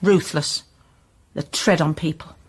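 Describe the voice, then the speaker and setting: Husky, resentful reluctant informant woman. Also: sits low, a little slow. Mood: guarded disdain. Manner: clipped, guarded, scornful. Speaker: actress in a radio crime drama. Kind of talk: radio drama acting.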